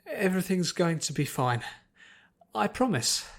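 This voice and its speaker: A man acts out a young pony princess from a fantasy storybook. She speaks high and earnestly, reassuring others and rallying them with resolve. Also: big pitch swings, measured pace, full character voice. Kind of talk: fan audiobook character acting.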